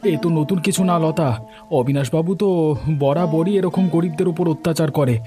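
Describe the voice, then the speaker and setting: A man's voice: a little high, a little fast, music in the background, close to an everyday voice. A man playing a sympathetic village man in a cartoon story, talking in a resigned, conversational way. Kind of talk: Bengali cartoon story acting.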